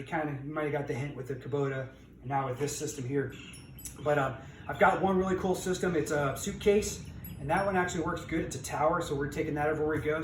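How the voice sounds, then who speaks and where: A middle-aged man giving a casual, unscripted walkthrough of a project as a vlogger, rambling along in a folksy way. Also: a little high. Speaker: vlogger reselling auction finds. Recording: roomy, distant mic, background noise.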